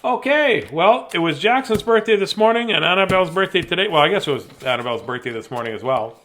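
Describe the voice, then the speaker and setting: A man with a fairly high voice hosts a casual bedtime story reading. He is playful and silly, wandering off into self-deprecating asides as he goes. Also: animated, fast talker, fairly bright tone, slight background noise. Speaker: children's story reader, host.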